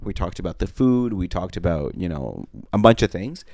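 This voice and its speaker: A young man from Russia co-hosting a podcast, telling a story in a deadpan comic way that turns loud when he gets riled. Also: low voice, moderate pace, no echo. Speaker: podcast co-host. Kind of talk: casual comedic chat.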